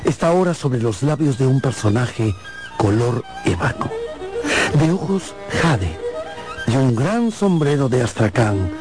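A man's voice: medium pitch, very animated, unhurried. A man giving dramatic scripted narration for a radio drama. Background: loud music.

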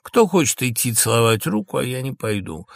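A man's voice: mid-range voice, sing-song, a little fast, creaky, no room sound. A man playing crude, quarrelsome menservants with a brash, jeering manner in a dramatic play reading. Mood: jeering, lewd.

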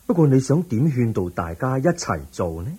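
A man acting a calm, patient, wise teacher in a radio drama, measured and gently instructive, with a Hong Kong Cantonese accent. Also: mid-range voice, husky.

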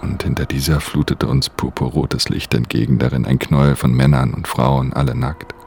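Guarded thief and narrator, radio drama: rock-bottom pitch, gravelly, deadpan, light background noise. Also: male voice, normal speed. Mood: dark narration. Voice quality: low, gravelly. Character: brooding, guarded male pickpocket telling his own story.

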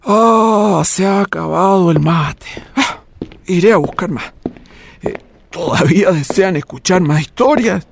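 Radio drama: male actor as elderly host and storyteller, slow delivery, fairly high for a man, faint music. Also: vocal fry, a little hiss or hum. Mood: hospitable, secretive. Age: older. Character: ghostly old man, hospitable storyteller of local history. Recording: long reverb, faint music.

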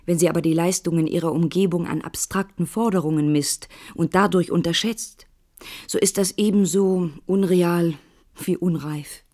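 A woman giving a measured literary reading for a radio play. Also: fairly low pitch, steady tone, dark tone. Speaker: reader.

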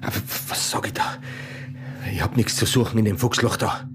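An actor playing a brash, probing, strapping border guard with an Austrian accent, speaking in terse, low-key questions in an intimate radio drama. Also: male voice, moderate pace, noisy background, natural voice. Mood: uneasy.

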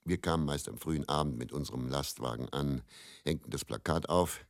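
An actor playing a bitter, world-weary travelling faith healer and quack in a radio play monologue, musing and theatrical. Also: male voice, extremely low pitch, a little fast, vocal fry, dry recording, studio quality. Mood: wry, reminiscing. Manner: brooding, musing, repeats words, theatrical shifts between murmur and outburst.

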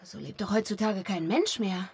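A woman's voice: medium pitch, normal speed, darkish tone, husky. An actress playing a needy, broke young female dancer in a radio drama, whiny, dramatic and self-pitying. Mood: sulky, dejected.

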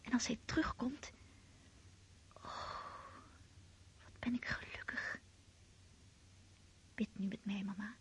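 A woman's voice: medium pitch, slow, long pauses. An actress playing a romantic, anxious girl of seventeen in a radio play, in an intimate whispery voice. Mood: dreamy, happy. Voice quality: breathy, whispery.

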